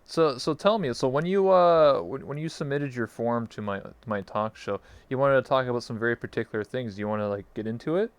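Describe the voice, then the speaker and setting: A man's voice: medium pitch, fairly quick, hardly any pauses, smooth, no echo. A young man hosting a casual interview stream, rambling with lots of fillers. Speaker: talk show host.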